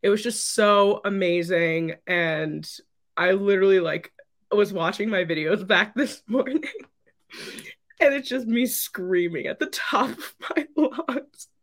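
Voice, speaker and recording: A hoarse young woman co-hosting a podcast, chatting excitedly and rambling with lots of "like". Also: mid-range voice, a little slow. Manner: excited, rambling with many likes. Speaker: podcast co-host. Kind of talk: casual chat.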